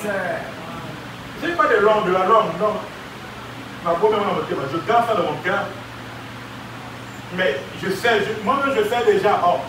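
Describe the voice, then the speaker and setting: A man's voice: sits high, noisy background. A man preaching a sermon as pastor, calling for responses and stretching out key words. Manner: preaching with call-and-response, drawn-out emphasis. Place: large church hall. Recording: echoey church hall, mic at a distance.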